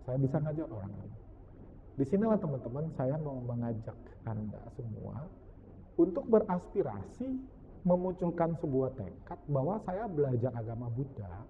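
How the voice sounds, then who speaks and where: A man giving a Buddhist dharma talk, lively, often asking his listeners questions and slipping in English phrases. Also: a little high, slight background noise. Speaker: Buddhist teacher. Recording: tinny phone-like sound, long hall reverb.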